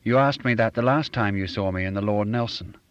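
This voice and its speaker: A man reading an audiobook and voicing a reserved, defensive man with quiet bitterness in his short replies. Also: lowish voice, brisk, highs cut off, lightly acted. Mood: guarded. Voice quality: reserved, clipped. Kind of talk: audiobook character voice.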